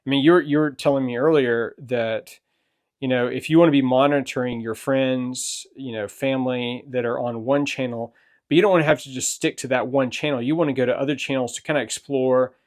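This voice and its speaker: A man's voice: medium pitch, steady tone, brisk. Man asking questions and summing up. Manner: asks questions and sums up in plain terms. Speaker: host, parent co-presenter. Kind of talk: casual chat.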